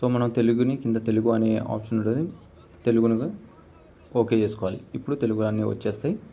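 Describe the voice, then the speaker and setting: A man's voice: lowish voice, fairly even intonation, brisk, dark tone, a little hiss or hum. A young man giving a casual step-by-step gaming tutorial as host.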